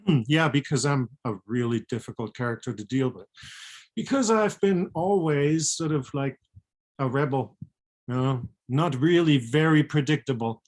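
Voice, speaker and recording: A middle-aged speaker from Germany with a German accent and a husky voice, talking frankly and reflectively in a casual chat. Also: medium pitch, moderate pace, a little mellow. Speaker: physician and co-author, guest.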